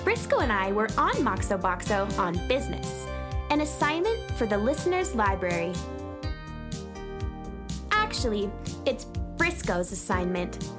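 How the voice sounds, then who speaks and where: A woman playing an upbeat, adventurous space traveler who narrates a children's radio story as an expressive storyteller. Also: mid-range voice, a little slow, pauses often, over music. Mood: wry, confiding.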